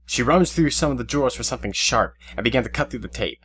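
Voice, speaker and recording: A man's voice: medium pitch, expressive, quick pace, a bit bright. A man reading scripted story narration for an audio drama. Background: music, guitar.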